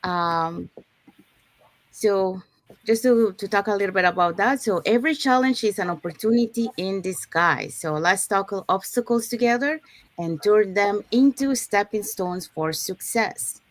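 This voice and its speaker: A woman's voice: mid-range voice, unhurried, no echo. A woman from Panama hosting a live online talk, presenting to her audience. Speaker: host of an online business talk show.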